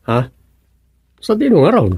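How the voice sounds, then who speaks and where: An actor playing an eager fisherman's son with a husky voice and an Ilocano accent in a radio drama comedy. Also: sits high, big pitch swings, a little slow, darkish tone, no room sound, clean studio sound, full character voice. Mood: curious, willing.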